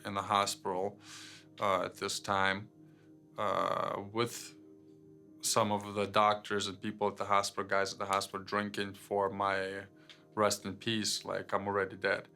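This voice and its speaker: A young man from Ukraine tells his story in a bright, forceful voice that stays low and very flat in pitch. He talks haltingly, full of fillers and restarts. Faint music plays underneath. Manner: halting, many fillers and repeated words. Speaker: guest sharing his testimony. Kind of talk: personal testimony, unscripted storytelling.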